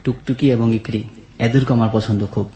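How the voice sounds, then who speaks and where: A man's voice: medium pitch, fairly even intonation, normal speed, mellow. Young Bangladeshi man storytelling, echoey sound. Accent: colloquial Bangladeshi. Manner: retells dialogue in quoted turns. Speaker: guest telling his life story. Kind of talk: conversational personal storytelling. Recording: echoey, reverberant voice.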